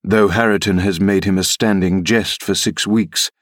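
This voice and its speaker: Man playing a menacing low-voiced gentleman villain. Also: fairly even intonation, normal speed, no echo, studio quality. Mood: threatening, mocking. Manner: mocking, commanding, insinuating. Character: menacing, wheedling middle-aged gentleman villain. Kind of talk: audiobook character reading.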